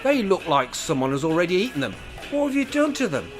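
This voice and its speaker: A man's voice: high-pitched, big pitch swings, a little fast, music in the background. A man playing a grumpy, bossy, rebellious older man in a comic radio play, blunt and exasperated. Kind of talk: radio play comedy acting.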